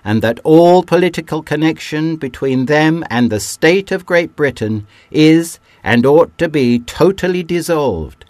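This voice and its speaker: A man giving a measured, declamatory reading of a historic document, dropping low at the ends of phrases. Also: expressive, darkish tone, no room sound. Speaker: reader.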